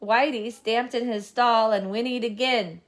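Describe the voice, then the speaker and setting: A woman reading a children's storybook aloud as scripted, expressive narration. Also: a little high, measured pace. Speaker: storybook reader.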